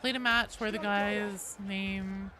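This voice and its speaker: A woman's voice: mid-range voice, fairly even intonation, slow pace. A woman livestreaming a video game, chatting casually and animatedly with viewers, swearing freely and greeting people as they come. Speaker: game streamer and host. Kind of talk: casual livestream chat over gameplay. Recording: clear background game noise. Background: video game sounds.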